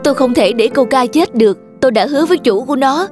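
A woman's voice: sits high, expressive, quick pace. A woman voicing a devoted, kind-hearted young male shepherd in an earnest, emotional fairy-tale cartoon style. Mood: earnest, relieved. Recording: music behind the voice.

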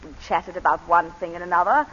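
An actress playing a composed planter's wife recounting a shooting, in 1940s radio acting. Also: female voice, fairly low pitch, quick pace, fairly bright tone, slightly rough. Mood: measured. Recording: muffled 1940s radio broadcast.

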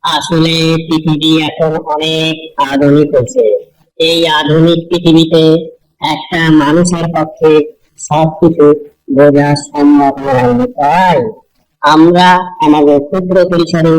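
A man playing an instructive village holy man in a cartoon, explaining things deliberately in a Bangladeshi Bengali dialect. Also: on the high side, steady tone, rough recording. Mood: pleased, reflective. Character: visiting village holy man, elder Matua preacher, patient teacher.

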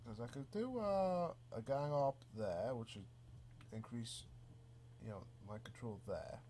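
A man, stuffy from a cold, casually commenting on his own gameplay with relaxed, easily amused remarks and mild swearing. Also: mid-range voice, a little slow, a little hiss or hum. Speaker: gaming channel host. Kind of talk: casual let's play commentary.

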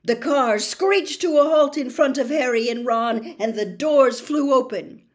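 An older woman narrating a story expressively, in a dramatic, deliberate manner. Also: high voice. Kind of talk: expressive audiobook-style narration. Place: small bedroom, indoors.

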